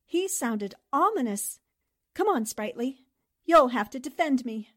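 Woman playing a high-pitched, spirited lady columnist. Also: a little slow, full character voice. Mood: bright, wary. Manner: expressive, theatrical. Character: spirited, indignant female newspaper columnist. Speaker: actor in an audio drama. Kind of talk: 1940s radio drama acting.

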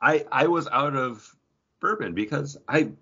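A man's voice: mid-range voice, animated, unhurried, vocal fry, pushed and bright, husky. A man co-hosting a podcast in a jokey, rambling, casual chat with friends. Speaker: podcast co-host. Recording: dull lo-fi voice recording.

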